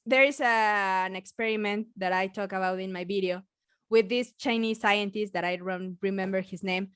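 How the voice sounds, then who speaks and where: A woman with a Mexican accent, a biologist chatting casually as a podcast guest, halting with many restarts and frequent laughs. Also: medium pitch, fairly even intonation, clean tone, no room sound, clean studio sound. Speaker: biologist guest and science communicator.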